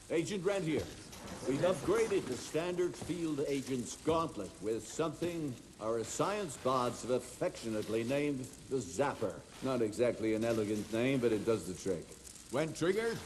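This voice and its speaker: A stern, overbearing director from a video game. His voice sits slightly high and is formal, measured and full of authority. Clear noise runs behind it. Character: stern, commanding male director of monster-hunting institute, overbearing father. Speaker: institute director. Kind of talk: dramatic video game acting.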